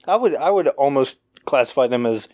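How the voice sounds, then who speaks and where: A man's voice: mid-range voice, lively intonation, fairly quick, a little mellow, dry recording, studio quality. A young man co-hosting a podcast in casual chat, rambling with lots of fillers and restarts. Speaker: podcast co-host.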